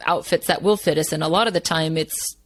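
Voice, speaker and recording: A woman's voice: sits low, fairly even intonation, brisk, no echo. A woman hosting a podcast, upbeat and chatty, full of "like" and "right". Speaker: podcast host. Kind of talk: casual podcast interview.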